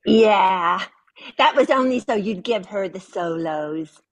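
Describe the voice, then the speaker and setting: A woman's voice: medium pitch, animated, slow pace. A woman reading the part of a blunt, gossipy grandmother at a funeral, over a video call. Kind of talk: zoom radio-play reading.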